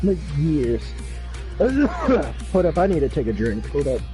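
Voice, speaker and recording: A man chatting casually during a game livestream, rambling with fillers and swearing, his voice going hoarse. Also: a little high, huge pitch swings, slow, music in the background, low-quality recording. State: losing his voice. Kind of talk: casual chat.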